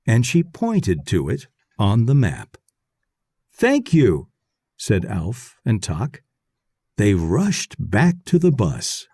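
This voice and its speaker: A man reading a children's story aloud in short, deliberate, clearly separated phrases. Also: on the low side, very animated, mellow, dry recording, clean studio sound. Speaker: read-aloud narrator.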